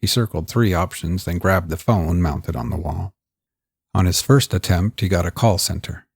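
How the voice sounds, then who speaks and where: Low, husky male storyteller. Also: normal speed, dry recording, studio quality. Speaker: podcast host and narrator. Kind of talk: scripted crime story narration; old-school mystery show presenting.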